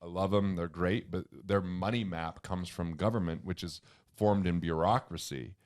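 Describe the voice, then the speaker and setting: A man with a booming voice, a financial author and comedian, talking earnestly and emphatically as a guest in an interview. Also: fairly even intonation, moderate pace. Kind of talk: conversational interview.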